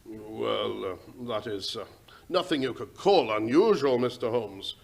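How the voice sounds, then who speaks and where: A man's voice: mid-range voice, lively intonation, a little slow, gravelly. A man playing a deferential, worried working-class English railway station master in an old-time radio drama, speaking hesitantly and respectfully in a folksy way. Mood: puzzled, earnest.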